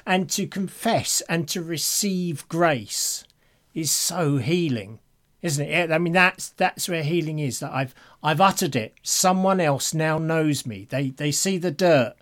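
Male podcast co-host, slightly higher register, earnest and emphatic in thoughtful talk on faith. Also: lively intonation, unhurried, a bit bright. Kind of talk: reflective conversation about faith and confession.

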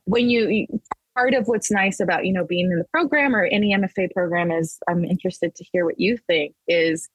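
Husky, low-voiced woman chatting casually. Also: a little fast, dry recording, poor audio. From Texas, raised in Las Vegas. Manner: rambling, with many restarts and fillers. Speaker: guest artist. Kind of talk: casual interview conversation.

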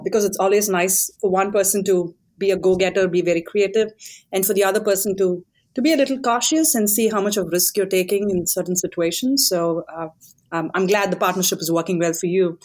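A woman's voice: mid-range voice, a little mellow. A woman hosting a podcast interview, speaking in a friendly, affirming way with some fillers. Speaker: podcast host.

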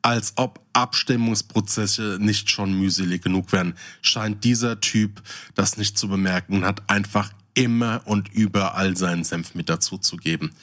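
A man's voice: lowish voice, moderate pace, fairly bright tone. A man co-hosting a podcast, switching between casual chat and reading out short descriptions. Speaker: podcast co-host.

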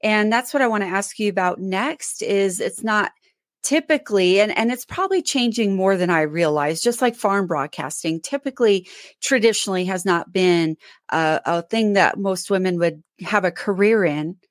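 A woman hosts an interview podcast, asking her questions in a measured, conversational way with the odd restart. Her voice sits at an ordinary middle pitch. The recording is dry and close. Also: expressive, dry recording. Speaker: podcast host. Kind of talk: interview questions and sponsor read.

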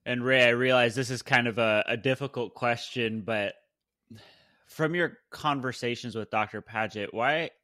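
A man's voice: mid-range voice, normal speed, sharp tone, no echo. A man hosting a podcast, thoughtfully posing questions to his co-hosts. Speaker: podcast host. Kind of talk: casual conversation.

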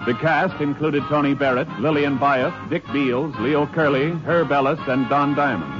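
Old time radio announcer guy, formal, dull sound with music. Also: on the high side.

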